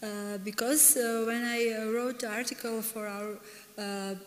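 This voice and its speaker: Middle-aged woman, native Serbian speaker, halting speech, echoey hall. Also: on the high side, steady tone. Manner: halting, many hesitations. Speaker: librarian. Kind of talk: short conference story. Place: large conference hall stage. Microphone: podium microphone.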